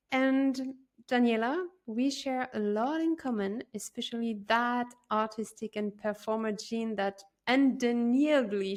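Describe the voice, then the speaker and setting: Woman host, interview podcast, measured and slow. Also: a little high.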